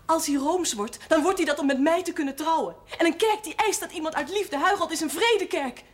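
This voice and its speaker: High-voiced, headstrong young woman. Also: fairly quick. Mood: defiant, indignant. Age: young adult. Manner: emphatic, argumentative. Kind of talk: Dutch television drama acting.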